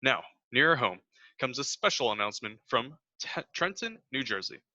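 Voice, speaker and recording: A man's voice: medium pitch, big pitch swings, moderate pace, bright, forceful tone, no room sound, exaggerated voice. A young man playing a formal radio news announcer, reading a scripted bulletin and sometimes stumbling. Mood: formal, serious. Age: young adult. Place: home room, indoors. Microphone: headset boom mic. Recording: dull lo-fi headset audio.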